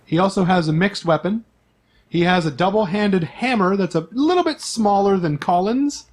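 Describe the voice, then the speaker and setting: A man's voice: high-pitched, lively intonation, moderate pace. A man acting as game master, reading out character profiles in an informal, list-like way with asides.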